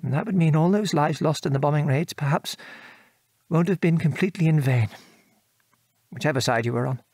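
An actor playing a gentle, reflective British father and war veteran in an audio drama, speaking in a measured, thoughtful way. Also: fairly high pitch, no echo, studio quality. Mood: moved, reflective.

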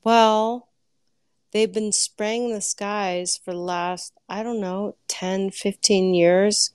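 Slow-talking woman caller. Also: mid-range voice, fairly even intonation, smooth, no echo, clean studio sound.

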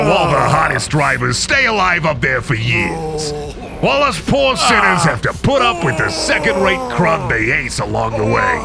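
A man voicing a swaggering, folksy Satan in a comic drama, with gravelly, cocky salesman patter. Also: a little high, exaggerated voice. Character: swaggering folksy Satan, gleeful demon derby fan. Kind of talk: scripted comic-book voice acting.

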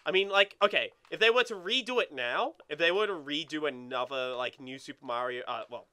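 A young man co-hosting a video game let's play in casual chat, excitable and sweary, often repeating words as he gets going. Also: on the high side, fairly quick, clean tone.

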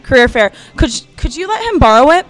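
High-voiced young woman, blunt interviewer. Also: very animated, fast talker, faint music behind. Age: young adult. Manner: blunt, teasing. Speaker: student street interviewer. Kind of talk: comedic street interview. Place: college campus, outdoors and indoors. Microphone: handheld mic.

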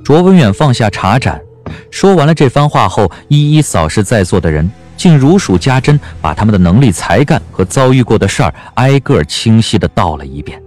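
A man's voice: medium pitch, sing-song, a little fast, darkish tone. A man narrating a Chinese period novel as a dramatic scripted audiobook. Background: background music.

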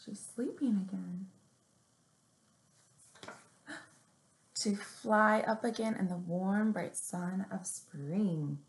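A young woman presents story time for little kids at an unhurried pace. Her voice has a noticeable creak at an ordinary female pitch. She is recorded on a webcam in a roomy, echoing space. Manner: measured, deliberate read-aloud delivery with simple instructions. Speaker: children's librarian, story time host. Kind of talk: picture-book reading and finger plays for young children. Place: small room indoors at home or office. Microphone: webcam or laptop mic at arm's length.

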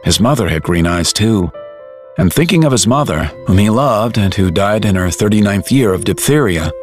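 A man narrating an audio drama in a measured, reflective storytelling style with dramatic pauses. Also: lowish voice, lively intonation, soft background music.

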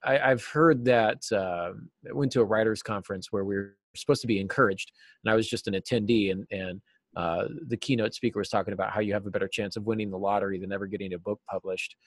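Middle aged american guy chatting in an interview, lowish voice, close dry sound. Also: fairly even intonation, fast, dry recording. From United States. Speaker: interview guest, novelist and teacher. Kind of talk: casual interview talk. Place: small cluttered office, indoors. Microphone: video call.

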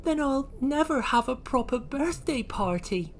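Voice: mid-range voice, very animated, a little slow, a bit bright, a little hiss or hum, voice pushed up. A storyteller voicing an innocent, wistful young male sea creature with an earnest, childlike way of talking in a children's story. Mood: downcast.

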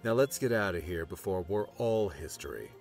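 Male actor as brusque adventurer, casual, creaky low voice, audiobook with faint music. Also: expressive, moderate pace, darkish tone. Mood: brusque, reassuring. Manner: casual, terse. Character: brave, brusque young male time traveler.

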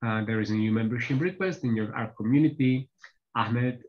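A man whose first language is Czech, presenting software updates on an online team call, pausing often with fillers. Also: mid-range voice. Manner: hesitant, many filler pauses. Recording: slightly dull lo-fi call audio.

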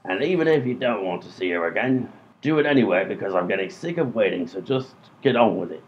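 A man's voice: fairly low pitch, expressive, fast talker, natural voice. A man playing a dim, easily exasperated robber sidekick in a comedy radio play, blunt and colloquial. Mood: weary, blunt. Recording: dull lo-fi audio, music behind.

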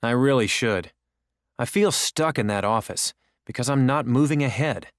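A man's voice: medium pitch, normal speed, no echo, clean studio sound, close to an everyday voice. A man playing a discontented office worker in a scripted listening-exercise dialogue. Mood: glum.

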